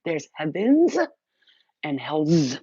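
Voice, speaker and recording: A woman's voice: low-pitched, very animated, drawn-out words, dark tone, slightly rough, dry recording, muffled recording. A woman hosting a spiritual healing livestream, talking bluntly and fervently, swearing freely, and reading out viewers' comments. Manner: addresses viewers directly, reads their comments aloud. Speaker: spiritual healer livestream host. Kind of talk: spontaneous spiritual livestream talk.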